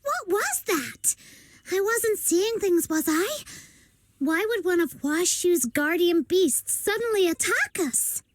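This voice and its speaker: A tiny, bossy girl sidekick from a video game, pipes up in an extremely high voice. She is brash and excitable, with lively swings in pitch and a dry, close sound. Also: slow, no room sound, exaggerated voice. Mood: rattled, defensive. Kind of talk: video game character acting.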